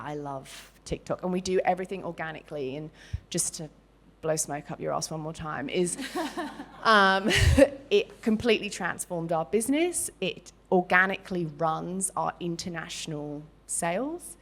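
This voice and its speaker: A woman's voice: deep voice, creaky. A middle-aged Australian woman, a business founder speaking on a panel, talking candidly and loosely, with lots of fillers and restarts. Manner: chatty and candid, loose and rambling with fillers and self-interruptions. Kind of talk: candid panel talk at a live event.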